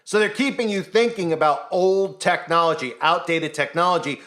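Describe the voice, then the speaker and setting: A man speaking alone into his mic as host of his own commentary channel. His voice sits a little high for a man. He talks in an animated, skeptical way and keeps firing rhetorical questions at the listener. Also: moderate pace. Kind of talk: solo news commentary monologue.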